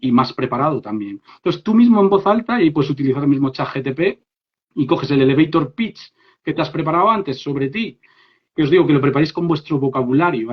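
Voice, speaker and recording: A man from Spain with a Spanish accent and a fairly high voice, leading a live online workshop as an English coach. He talks in a lively, direct way, checking in with his listeners often. The audio is dull and lo-fi, like a livestream. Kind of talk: informal live workshop talk. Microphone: phone or laptop mic on a live stream.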